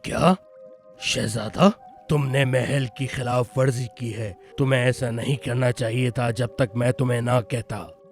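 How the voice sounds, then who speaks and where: An actor playing a stern, disapproving mermaid king and father in an animated fairy tale, reproachful and authoritative. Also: mid-range voice, measured pace, soft background music, close to an everyday voice.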